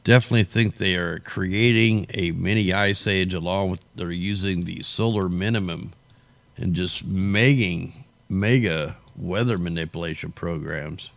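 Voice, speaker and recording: A man with a low, creaky voice hosts a casual livestream. He sounds tired, with his voice worn out. His commentary is off the cuff, rambling and full of slang. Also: unhurried, no room sound. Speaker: livestream host.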